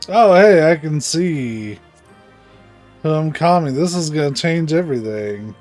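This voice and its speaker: A man's voice: on the high side, animated, a little slow, a little mellow. A man streaming a video game with casual, blunt, sweary commentary. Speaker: gameplay streamer. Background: game music.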